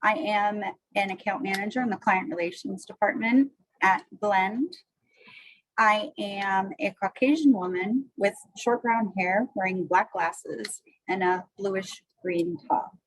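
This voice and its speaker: A woman's voice: medium pitch, no room sound, rough recording. A woman moderating an online panel, making upbeat, scripted-sounding introductions over a video call.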